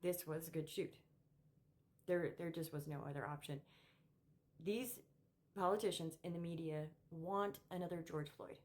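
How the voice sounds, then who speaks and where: A middle-aged woman with a low voice speaks off the cuff to her viewers. She is opinionated and emphatic, and her delivery halts and repeats words as she builds each point. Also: normal speed, long pauses. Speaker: political commentary vlogger. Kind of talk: unscripted opinion monologue to camera.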